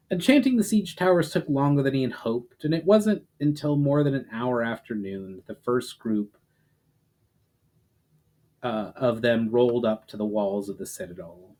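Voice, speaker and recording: A man's voice: mid-range voice, darkish tone. A man reading fantasy fiction aloud as a dramatic narration, hitting phrase openings with emphasis and letting sentence ends fall away. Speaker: writer reading own story aloud.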